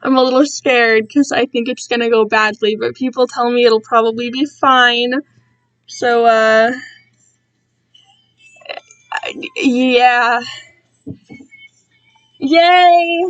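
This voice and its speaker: A fairly high-voiced woman talks at an unhurried pace, as if live to her viewers. She rambles casually, gets sidetracked and puts herself down. The sound is dry, with little room around the voice. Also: a bit bright, clean tone, no room sound, lo-fi sound, faint music behind. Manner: rambling, self-deprecating, easily distracted. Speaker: streamer reading own fan-written work to viewers. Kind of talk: casual live-stream chat.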